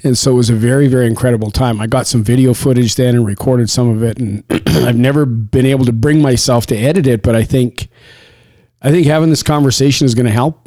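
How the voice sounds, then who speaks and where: A middle-aged man in casual conversation, reflective and quick, often saying "you know". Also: medium pitch, mellow, no room sound.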